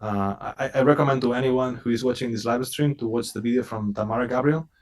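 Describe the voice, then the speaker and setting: A man's voice: medium pitch, fast talker. A man who is not a native English speaker, appearing as a company representative on a live stream over a video call and talking in an earnest, repetitive way. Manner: earnest, repeats words while speaking. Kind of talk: casual live stream chat.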